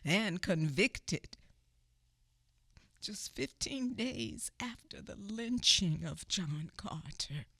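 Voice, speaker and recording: A woman narrating a radio play in a slow, halting way, repeating words, swinging between raised cries and low whispers. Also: lively intonation, fairly bright tone, husky, dry recording, clean studio sound. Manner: drops to a whisper at times. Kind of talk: dramatic spoken-word storytelling.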